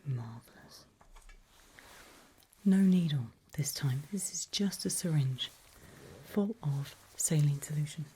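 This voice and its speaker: A woman whispering gently and reassuringly to a patient in an ASMR medical roleplay, close to the microphone. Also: sits low, animated, takes it slowly, pauses often, husky. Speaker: ASMR performer playing a back-alley eye surgeon.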